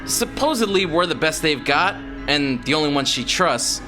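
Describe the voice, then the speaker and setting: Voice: medium pitch, expressive, normal speed, music in the background, lightly acted. An actor playing an anxious spaceship pilot in an audio drama, nervous and rambling, with a sarcastic streak. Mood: nervous, chatty. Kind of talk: scripted audio drama acting.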